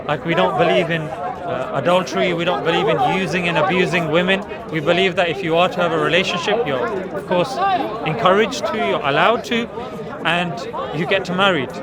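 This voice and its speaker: An earnest man explains his views point by point, patient and steady, in a voice that sits a little high for a man. He speaks outdoors in a park, with a loud crowd chattering all around. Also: brisk. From England. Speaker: street preacher debating visitors. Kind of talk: explanatory debate talk. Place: busy outdoor public park.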